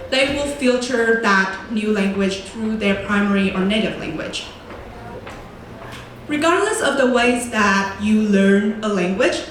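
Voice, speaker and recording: A young woman from Vietnam, a native Vietnamese speaker, gives a prepared talk at a middle pitch. She speaks in a clear, measured way and leans on key words. She is picked up from a distance in a large room with heavy echo and a faint hum of ambience. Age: young adult. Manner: clear, deliberate, slows to stress key words. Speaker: student presenter. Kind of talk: prepared lecture presentation. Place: large echoey lecture room. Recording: very echoey room, voice from far off.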